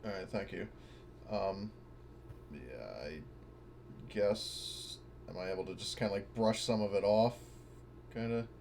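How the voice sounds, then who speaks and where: A man with a fairly low voice talks casually as a player in a tabletop roleplaying game. There is steady noise behind him. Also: unhurried, pauses often.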